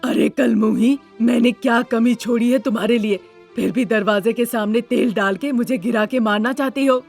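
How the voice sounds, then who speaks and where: Husky, scheming cartoon mother-in-law. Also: fairly high pitch, steady tone, normal speed, darkish tone, faint music behind. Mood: angry, accusing. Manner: scolding, conniving. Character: greedy, scheming, cruel middle-aged mother-in-law. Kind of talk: Hindi cartoon story dubbing.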